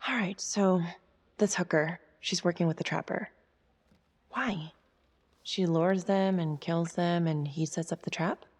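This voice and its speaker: Casting: detective's cautious female confidante, slightly low voice, measured game-drama delivery, long reverb. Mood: skeptical. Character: cautious, supportive woman, investigative confidante of a detective. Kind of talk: naturalistic dramatic game acting.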